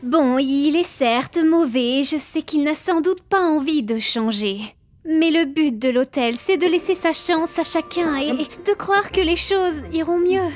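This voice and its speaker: A woman's voice: high voice, a little slow. A woman playing a kind, idealistic young princess of hell in a cartoon fan dub, earnest and hesitant. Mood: earnest, pleading.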